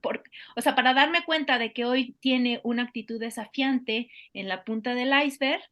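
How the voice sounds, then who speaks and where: A woman hosts the conversation and picks up her guest's ideas with care. Her voice is bright and clear, and it sits fairly high. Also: normal speed, muffled recording. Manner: thoughtful, builds on the guest's points. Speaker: host. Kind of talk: interview hosting.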